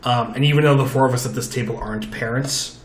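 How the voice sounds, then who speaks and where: A man with a loud, booming voice, telling stories animatedly in a casual chat at a table with friends. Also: quick pace, a little hiss or hum. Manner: chatty, animated storytelling with restarts. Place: table with four people. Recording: roomy echo.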